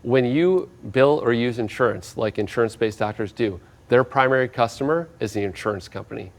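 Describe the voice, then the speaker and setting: Confident male doctor making his pitch. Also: brisk. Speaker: doctor, interview guest. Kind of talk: interview answers.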